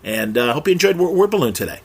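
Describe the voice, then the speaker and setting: A man's voice: fairly high pitch, very animated, racing, gravelly, slightly rough. A man hosting a podcast, wrapping up in a casual, chatty, opinionated way with some hesitation. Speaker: podcast host. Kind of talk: casual podcast outro commentary.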